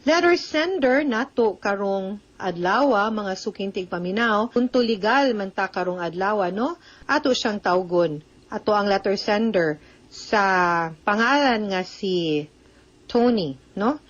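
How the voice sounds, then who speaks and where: A woman's voice: medium pitch, lively intonation, takes it slowly, lo-fi sound. A woman with a Cebuano Filipino accent hosts an advice program, explaining things conversationally to listeners, mixing in English terms and often checking for agreement with short tag questions. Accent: Filipino, Cebuano-speaking. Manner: mixes in English phrases and legal terms. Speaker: host giving legal advice on a radio drama letter program.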